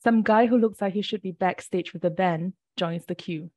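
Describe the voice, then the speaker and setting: Woman poet, warm dry close voice, measured unhurried reading, a bit early-morning rough. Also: mid-range voice, no echo. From Singapore. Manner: measured, deliberate reading with brief asides. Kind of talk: poetry reading.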